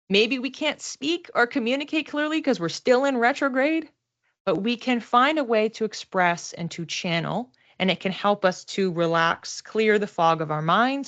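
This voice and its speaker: A woman teaching a class in an informal, conversational way, explaining point by point and often setting out short listed phrases. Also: mid-range voice. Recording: slightly dull, lo-fi audio.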